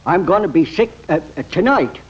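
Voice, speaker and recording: A man's voice: sits high, expressive, moderate pace, slightly rough. An actor playing a folksy, evasive American man with a rustic drawl in an old-time radio comedy. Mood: sheepish, evasive. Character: folksy, evasive older man making flimsy excuses. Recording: dull vintage radio recording.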